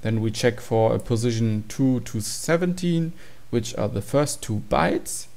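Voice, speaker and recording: A man's voice: mid-range voice, expressive, a little slow, creaky, a little mellow. A man giving an informal, matter-of-fact tutorial walkthrough of program code. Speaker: tutorial presenter.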